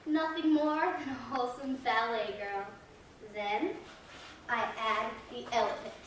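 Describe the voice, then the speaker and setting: A woman's voice: on the high side, fairly even intonation, a little slow, close to an everyday voice. A woman playing a proud, showy circus ballet girl in a theatrical monologue, recorded at a distance in an echoey space. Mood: proud, rapturous. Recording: echoey stage, distant, faint noise.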